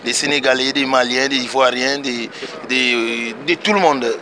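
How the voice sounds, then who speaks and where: A man's voice: medium pitch, fairly even intonation, normal speed, crowd noise, low-quality recording. A middle-aged man from Congo answering a street interview, emphatic and insistent, outdoors on a city street. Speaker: passerby interviewee. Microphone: interviewer's handheld phone.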